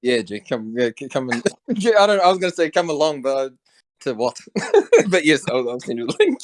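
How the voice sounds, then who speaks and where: Slangy New host on a call. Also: male voice, medium pitch, wildly swooping pitch, brisk, no echo. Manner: friendly, slangy, calls people bro. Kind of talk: casual hosted voice chat.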